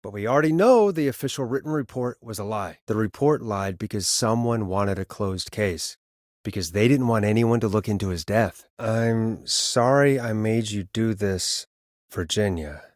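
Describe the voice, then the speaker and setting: A man playing a steady, weary radio host who guides callers through an emergency in a video game, speaking in an earnest, conversational, slightly hesitant way. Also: lowish voice, normal speed, no echo, clean studio sound, natural voice. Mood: somber, apologetic. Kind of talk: naturalistic video game voice acting.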